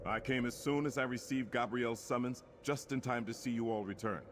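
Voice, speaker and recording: An actor playing an earnest, courtly warrior prince in a fantasy video game, speaking formally and with concern. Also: male voice, mid-range voice, fairly even intonation, fairly quick, a bit bright, a little hiss or hum, natural voice. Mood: concerned, urgent.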